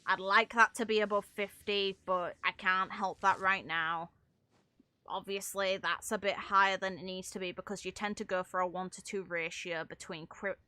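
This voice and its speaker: A woman's voice: on the low side, bright, forceful tone. A young adult woman giving casual, rambling game commentary in an informal, amused way, as a streamer.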